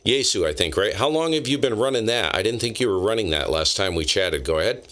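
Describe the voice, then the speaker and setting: A man's voice: lowish voice, lively intonation, noisy background. A man hosting a ham radio chat, talking casually and loosely about his gear in a friendly way. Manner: chatty, quick, loose and friendly. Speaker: ham radio operator, channel host.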